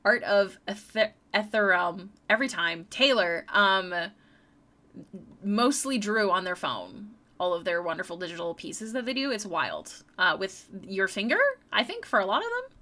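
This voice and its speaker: A woman's voice: medium pitch, big pitch swings, normal speed, bright, forceful tone. A young woman streaming her artwork, chatting casually with viewers and often stumbling over and repeating her words. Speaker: streaming artist. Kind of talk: casual live-stream chat while drawing.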